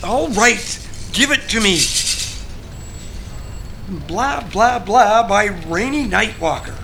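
A man's voice: sits high, lively intonation, slow pace, creaky, background noise, heavily acted. A man playing a prissy, evasive, exasperated publishing agent in an audio drama, speaking in a fussy, formal, condescending way. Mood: flustered, irritated.

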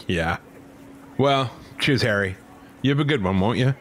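An actor playing a weary, cynical New York police officer in an audio drama, wry and sardonic. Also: male voice, medium pitch, lively intonation, unhurried, a little hiss or hum, close to an everyday voice. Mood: friendly, tired.

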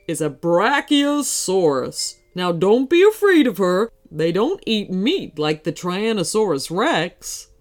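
Woman voicing a slow, kindly old storybook man. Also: mid-range voice, sing-song. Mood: reassuring, teaching. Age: older. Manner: slow, warm, reassuring with soft chuckles. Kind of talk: children's storybook character voice.

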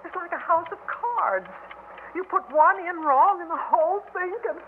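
A woman's voice: very high-pitched, moderate pace. An actress playing a weary, frayed wife and mother in a 1960s radio drama, with a plaintive, dramatic delivery. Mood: exhausted despair. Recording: muffled, noisy 1960s radio recording.

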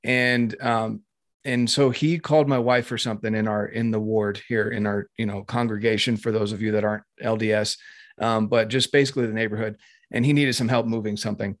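A man's voice: fairly low pitch, fairly even intonation, fairly quick, no echo, clean studio sound. A man from North Carolina in casual podcast chat, talking at length with frequent laughs and fillers. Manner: chatty, laughs often, lots of fillers.

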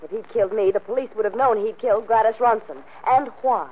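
A muffled vintage radio recording of a scorned wife. Her voice is husky and guarded, with a bitter edge, acted in 1940s radio drama style. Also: a little high, fast. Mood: wary, bitter.

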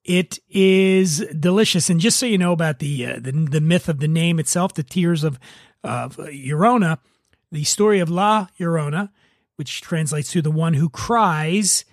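A man's voice: a little high, moderate pace, no echo, clean studio sound. A man hosting a podcast, giving a semi-scripted review in a conversational way with wry, joking asides. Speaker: podcast host reviewing spirits.

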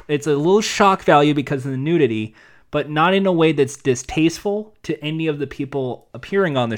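Casting: lively man, podcast co-host, does little voices for quotes, slightly high voice. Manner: animated, acts out quotes, laughs often. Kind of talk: casual podcast chat.

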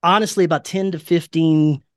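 A man's voice: fairly high pitch, steady tone, normal speed, dry recording, studio quality. A man from Louisiana talking casually as a guest on a podcast.

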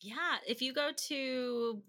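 A woman's voice: sits high, slow, pushed and bright. A woman chatting informally as an interview guest, playful and easily amused. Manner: playful, informal, breaks into laughter. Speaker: podcast guest, language-learning business representative. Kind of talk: casual interview chat.

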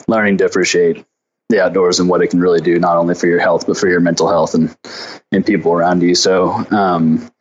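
A man's voice: low voice, lo-fi sound. A man from Arkansas with an American accent, answering interview questions in an easygoing, informal way as a company founder. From Arkansas, United States.

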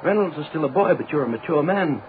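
An actor playing a stern, sober scientist in a 1940s radio play, formal and admonishing. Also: male voice, fairly high pitch, animated, quick pace, close to an everyday voice. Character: stern, sober, disapproving male scientist doctor. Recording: tinny, noisy 1940s radio recording.

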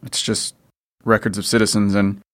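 A man's voice: lowish voice, flat delivery, normal speed, a bit bright, natural voice. A man playing a decisive, level-headed survivor father in a post-apocalyptic audio drama, matter-of-fact in manner.

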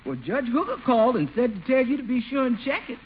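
High-voiced, animated household cook. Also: female voice, fast. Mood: skeptical. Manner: folksy, third-person self-reference, insistent. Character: bossy, practical household cook, a woman. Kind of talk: 1940s radio comedy acting. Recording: dull, noisy old radio recording.

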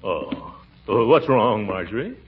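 An actor playing a blustering, put-upon but soft-hearted middle-aged uncle in a 1940s radio comedy, hesitant and chuckly. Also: male voice, lowish voice, lively intonation, measured pace, slightly rough. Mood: gently consoling. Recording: muffled old radio recording, noisy, audience.

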